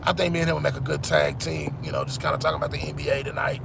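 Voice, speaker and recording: Host sportivo sulla quarantina, afroamericano, voce gracchiante e cavernosa, rumore di traffico dietro. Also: male voice, fairly high pitch, fairly even intonation, rapid-fire, creaky, background noise. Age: 40s. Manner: rambling, repeats "man", restarts often. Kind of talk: casual live-stream chat with viewers.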